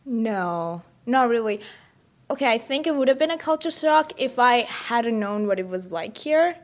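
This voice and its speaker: A woman's voice: on the high side, lively intonation, moderate pace. A young woman student with a Sri Lankan accent, answering questions in a casual interview. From Colombo, Sri Lanka. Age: young adult.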